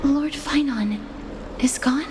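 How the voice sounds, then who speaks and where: A woman playing a gentle, concerned young woman in a video game, soft-spoken, formal and deferential. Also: sits high, slow, over loud music. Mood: startled concern. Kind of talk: scripted game voice acting. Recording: loud ambient background noise.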